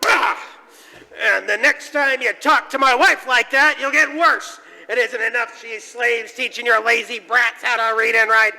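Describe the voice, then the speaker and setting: An angry bully of a husband in an old-time radio play. His voice is very high, sharp and gravelly, belligerent to the point of shouting, ringing in a reverberant hall. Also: fairly even intonation, measured pace, exaggerated voice. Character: angry bullying husband confronting a man in a bar. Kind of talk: 1940s-style live radio drama acting. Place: church hall stage, live performance. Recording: reverberant hall, slight noise.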